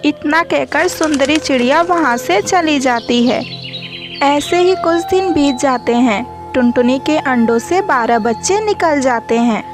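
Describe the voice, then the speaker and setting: A woman's voice: sits high, unhurried. A woman narrating a scripted children's bird story as the storyteller. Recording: music behind the voice.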